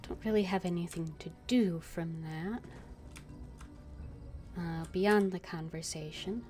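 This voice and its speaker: A woman streams a game with casual, unhurried commentary. Her voice is a little low and very slow, musing and often trailing off. Faint game music plays behind her. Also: a little mellow. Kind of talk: casual gameplay commentary, voicing character lines aloud.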